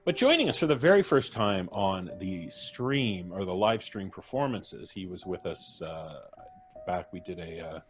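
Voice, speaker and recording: A man hosts a live stream, chatty and full of jokes as he brings on each guest. His voice sits on the low side, and faint music plays in the background. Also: expressive, measured pace. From Canada. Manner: jokey, chatty, introducing guests with laughs. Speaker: host. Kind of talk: casual livestream chat.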